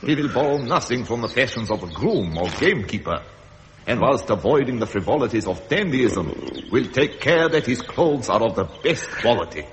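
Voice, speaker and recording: A man's voice: mid-range voice, very animated, normal speed, background noise. An actor playing a stern, middle-aged royal father in a 1970s radio drama, pedantic and disapproving, lecturing formally. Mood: pompous lecturing.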